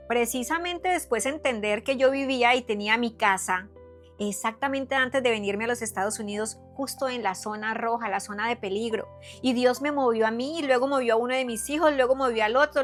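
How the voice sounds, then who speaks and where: A woman's voice: a little high, a bit bright. A middle-aged woman with a Colombian accent from Cali, giving an earnest, emphatic devotional talk with repeated phrases and rhetorical questions. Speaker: psychotherapist giving faith talk. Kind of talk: personal testimony and devotional talk to followers.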